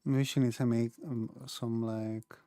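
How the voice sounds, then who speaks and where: Slow, laid-back game streamer. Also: medium pitch, level tone, dark tone, no room sound, clean studio sound. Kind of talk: casual game stream commentary. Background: game music.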